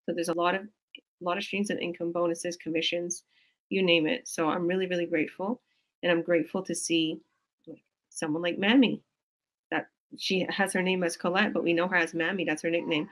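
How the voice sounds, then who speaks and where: A woman's voice: fairly low pitch, level tone, a little fast. Effusive woman on a video call. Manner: effusive, rambling, lots of restarts. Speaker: business coach hosting a team video call. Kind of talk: casual motivational chat. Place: small indoor room at home. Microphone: video call webcam.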